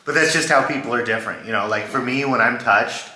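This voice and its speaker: A man's voice: medium pitch, fast talker, sharp tone, reverberant, poor audio. A young man chatting casually with his partner about their relationship, laid-back, with many fillers like "you know" and "like". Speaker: co-host of a couple's channel. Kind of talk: casual couple chat, storytime.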